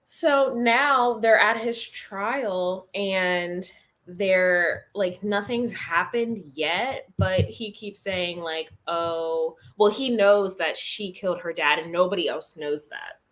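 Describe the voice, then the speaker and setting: A woman's voice: medium pitch, slow pace, a bit bright. Woman just chatting books, super informal, slangy, bit sweary. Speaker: guest on a book reading livestream. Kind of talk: casual chat about books.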